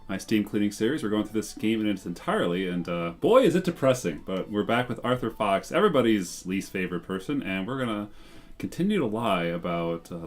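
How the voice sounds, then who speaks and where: A man giving casual gameplay commentary, wry with joking asides. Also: lowish voice. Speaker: game streamer.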